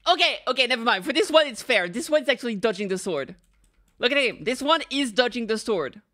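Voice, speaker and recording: High-pitched, animated young woman streamer. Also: fairly quick. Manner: expressive, joking, slangy, swearing. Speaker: streamer reacting to videos. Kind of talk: casual live-stream reaction commentary.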